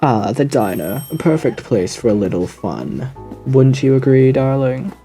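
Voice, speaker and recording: Suave gentleman radio demon, swooping intonation, mellow dark tone, theatrical, flirtatious, faintly menacing, audio roleplay. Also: mid-range voice, expressive, a little slow, soft background music. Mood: playful, scornful. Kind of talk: theatrical ASMR character roleplay monologue.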